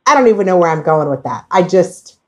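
A woman in a casual discussion, speaking haltingly as she thinks aloud and corrects herself. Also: on the low side, sing-song. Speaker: podcast discussion guest.

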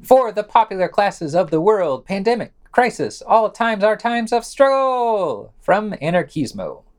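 A man's voice: sits high, big pitch swings, a little slow. A man reads a scripted news digest as a podcast co-host, with sarcastic commentary and theatrical emphasis.

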